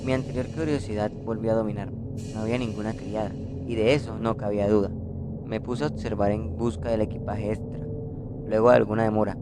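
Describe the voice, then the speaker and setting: A man's voice: lowish voice, fairly even intonation, fairly quick. A young man reading a scripted literary story as a student narrator. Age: young adult. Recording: music behind the voice.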